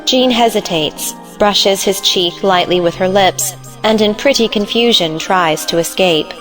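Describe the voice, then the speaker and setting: A woman's voice: medium pitch, unhurried, a bit bright, faint music behind, rough recording. A woman giving scripted narration, reading out the stage directions of a play.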